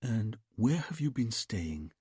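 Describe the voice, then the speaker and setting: A man voicing a vain male hypnotist who murmurs softly and soothingly to a woman in a trance. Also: fairly low pitch, very animated, slightly rough, dry recording, studio quality, exaggerated voice. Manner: soft, slow, soothing murmur.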